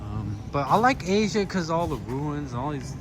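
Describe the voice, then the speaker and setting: A man from Guyana chatting casually while he films a theme park visit outdoors, relaxed and offhand. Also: fairly high pitch, normal speed. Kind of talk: casual vlog chat. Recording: loud outdoor background noise. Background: crowd ambience.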